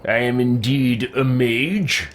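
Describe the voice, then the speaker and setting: A man voicing a haughty, scornful, power-hungry mage in an imperious, drawn-out manner while roleplaying a video game. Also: medium pitch, slight background noise, close to an everyday voice. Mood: condescending.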